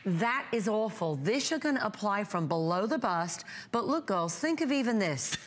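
A woman in her fifties gives a chatty, emphatic home shopping pitch, piling up vivid descriptive words as she talks to viewers. Her voice sits in a middle pitch, picked up cleanly on a lapel mic in a bright TV studio. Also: animated, moderate pace, a little hiss or hum. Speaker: brand founder presenting on a shopping channel.

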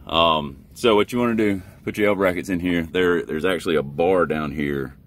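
Deep-voiced man, casual how-to vlog. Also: normal speed. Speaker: truck driver and vlogger. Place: inside a truck cab.

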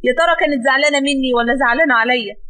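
A woman's voice: sits high, very fast, pushed and bright. A woman with an Egyptian accent voicing a heartbroken young wife who accuses her husband in anguish in an audio drama. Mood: grieving.

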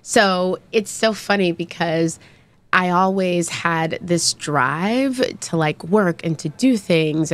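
A woman in her forties from Texas, a podcast guest chatting in a bubbly, animated way in a small studio on a desk mic. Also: fairly low pitch. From Texas, USA. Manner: bubbly, animated, laughing often. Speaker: podcast guest, brand founder. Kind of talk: casual interview chat.

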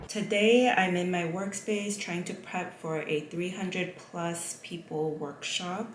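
A woman's voice: fairly low pitch, steady tone, slow pace. A young woman giving casual vlog narration about her work, recorded indoors in a small craft room. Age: young adult. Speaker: vlogger and paper crafter. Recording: roomy echo, faint background noise.

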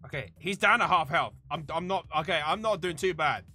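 A video game streamer giving excitable commentary, yelling and cursing at the game. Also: medium pitch, brisk, husky, soft background music. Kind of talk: excitable gameplay commentary with swearing.

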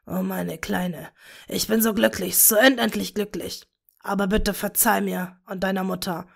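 A woman voicing a remorseful dark wizard father, speaking softly in a broken whisper. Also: mid-range voice, steady tone, measured pace, vocal fry. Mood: tender. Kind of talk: fan fiction reading.

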